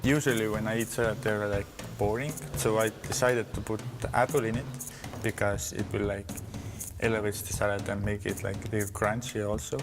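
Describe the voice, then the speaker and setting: A young man, a nervous cooking contestant who talks haltingly with fillers. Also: on the low side, level tone. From Estonia. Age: young adult. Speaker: cooking contestant, dental student. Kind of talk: reality show interview. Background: background music.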